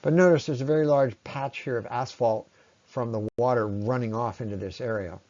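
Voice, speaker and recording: A man presenting an explanatory slide talk in a conversational, unscripted way, with halting phrasing and frequent pauses. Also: medium pitch, a little mellow, muffled recording. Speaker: presenter, ecology lecturer.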